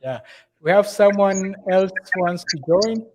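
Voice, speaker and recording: A man's voice: sits high, a little slow, a little mellow, muffled recording, faint music behind. A man hosting a casual fitness live stream as a coach, talking in a conversational way. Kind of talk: casual chat.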